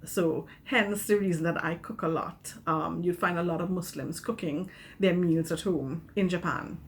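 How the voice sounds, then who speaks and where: Calm woman on a webcam. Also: lowish voice, moderate pace, slight background noise. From Trinidad and Tobago. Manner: calm, explanatory, with fillers. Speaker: teacher. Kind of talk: informative video message. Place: small room at home, indoors. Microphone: webcam, video call.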